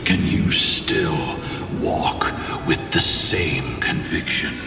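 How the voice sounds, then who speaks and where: A deep, echoing ancient warrior spirit. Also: male voice, creaky, heavily acted. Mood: solemn, challenging. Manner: grave, measured, formal. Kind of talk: theatrical fantasy video game dialogue. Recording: very echoey distant hall, music behind.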